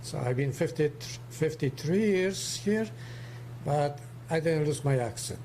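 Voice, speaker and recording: A man in his seventies from Poland with a strong Polish accent, speaking haltingly on a casual livestream as co-host. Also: on the high side, animated, darkish tone, slight background noise. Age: 70s. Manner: halting, searching for English words.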